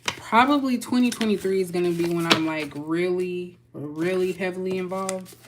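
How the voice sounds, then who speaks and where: Casual young female reader with a Texas twang, low-pitched, folksy and loose. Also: normal speed, background noise. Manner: chatty, loose, folksy with y'all. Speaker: tarot reader. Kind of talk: casual spiritual reading.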